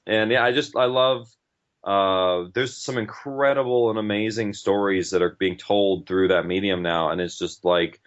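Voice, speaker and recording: Low-pitched man, casual interview guest, enthusiastic rambling manner, dull lo-fi audio. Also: steady tone, normal speed. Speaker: interview guest, podcast writer and director.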